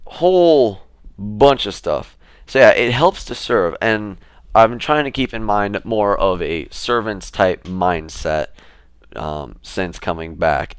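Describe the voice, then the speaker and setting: A young man talking casually to his camera in a relaxed, rambling way, with fillers and restarts. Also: low-pitched, clean tone, no room sound, clean studio sound. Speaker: vlogger.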